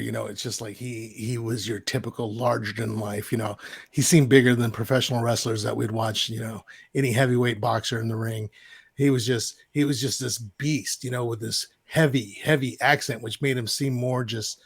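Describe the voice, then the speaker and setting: A man's voice: mid-range voice, creaky, slightly rough. A man co-hosting a casual podcast, rambling and searching for words. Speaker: podcast co-host.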